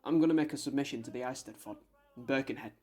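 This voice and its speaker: A man's voice: mid-range voice, quick pace, darkish tone, close to an everyday voice. A man acting a guarded, idealistic young soldier-poet in a wartime radio drama, reticent in his replies. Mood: shy, guarded. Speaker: actor in a student radio drama.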